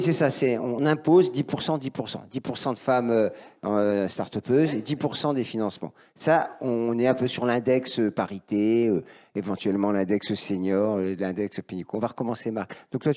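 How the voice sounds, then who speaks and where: A man's voice: mid-range voice, darkish tone. A man moderating a live round-table discussion, thinking aloud in an informal, interjecting way. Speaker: panel moderator.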